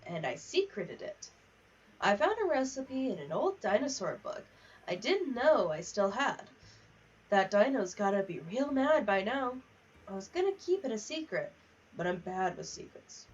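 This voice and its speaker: A woman's voice: mid-range voice, very animated, moderate pace, breathy edge. Candid, sweary woman streamer, dull distant mic. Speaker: livestream host. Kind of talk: casual gaming livestream chat, reading in-game letters aloud. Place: home office.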